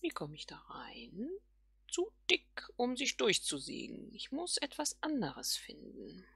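A woman's voice: lowish voice, huge pitch swings, measured pace, creaky, pushed and bright, husky, dry recording, clean studio sound. A woman hosting a let's play, thinking aloud casually and murmuring questions to herself as she plays.

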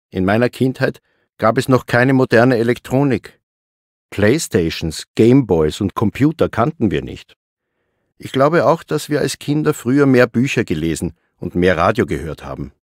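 A man in his fifties who answers interview questions in a steady, reflective way. His voice is fairly low. It is a dry studio recording. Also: no echo. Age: 50s. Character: fifty-two-year-old man being interviewed. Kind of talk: scripted interview dialogue.

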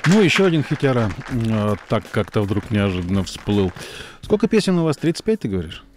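A man hosting a radio studio interview, asking quick teasing questions. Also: on the low side, sing-song, crowd noise, noisy background. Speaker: radio host. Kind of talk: casual interview.

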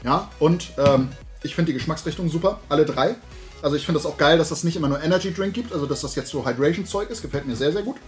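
A middle-aged man hosts a hobby vlog in a somewhat high voice. He rambles in loose, colloquial chat, as if talking to regular viewers. Faint music can be heard with his talk. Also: fast talker. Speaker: host of a toy and hobby video show. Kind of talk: casual chat, product tasting and recommendations. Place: room at home lined with shelves of toy figures.

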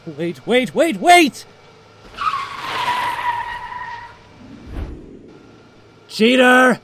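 A man's voice: very high voice, very animated, takes it slowly, noisy background, exaggerated voice. A man playing a brash, arrogant young prince with a blunt, imperious manner in an amateur comic dub. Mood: excited, teasing.

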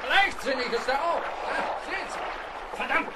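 High-pitched commanding sea captain. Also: male voice, expressive, unhurried, distant mic, a loud crowd. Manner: barking orders, authoritative. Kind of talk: German radio play acting. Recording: shouted from afar amid loud storm noise.

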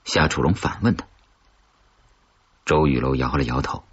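A man with a husky voice reading a scripted suspense story as an audiobook narrator. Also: extremely low pitch, moderate pace, dull audio.

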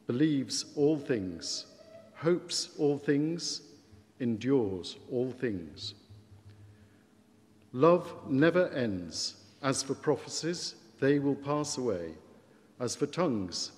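A man's voice: medium pitch, big pitch swings, drawn-out words, a little mellow. A man reading a scripture passage aloud during a wedding in a large stone church. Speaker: reader of a scripture lesson. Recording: long church reverb.

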